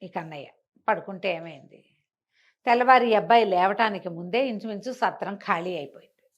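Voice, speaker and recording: A woman's voice: mid-range voice, a little fast, highs cut off. A woman storyteller telling a bedtime folk tale conversationally, repeating and explaining small details as she goes.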